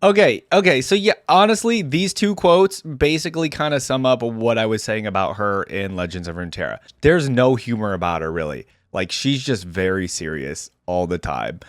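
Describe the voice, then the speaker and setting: A man's voice: fairly low pitch, lively intonation, moderate pace, few pauses, no room sound, clean studio sound. A man hosting a reaction video, giving casual, musing commentary on game voice lines in a conversational way.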